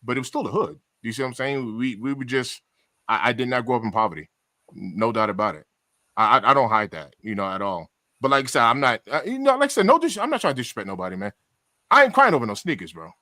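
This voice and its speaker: A man's voice: medium pitch, big pitch swings, fast talker, bright, forceful tone, no room sound, studio quality. A man with a New York accent chatting casually on a livestream, emphatic and slangy, often repeating himself for effect. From Queens, New York, USA. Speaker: podcast panelist.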